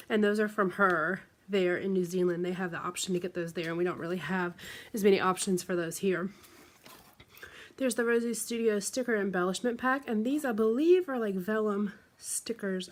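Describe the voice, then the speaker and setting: A woman from the United States talks through a box of craft supplies in a casual, rambling way, full of ums and likes. Her voice sounds congested and stuffy from a recent flu. Her pitch sits in the middle range for a woman. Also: moderate pace. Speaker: craft vlogger. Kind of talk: casual show-and-tell unboxing.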